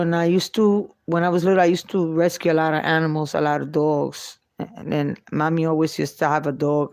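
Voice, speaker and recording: A middle-aged woman with a New York Puerto Rican accent, telling her life story off the cuff in short, halting phrases with long pauses. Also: low-pitched, a little mellow, no echo, studio quality. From Puerto Rico and New York. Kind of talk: unscripted personal storytelling.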